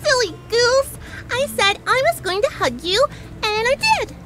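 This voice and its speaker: A woman voicing a bubbly, naive young female cartoon pony with a squeaky, giggly, excitable delivery. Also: a little slow. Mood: gleeful. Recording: loud music behind the voice.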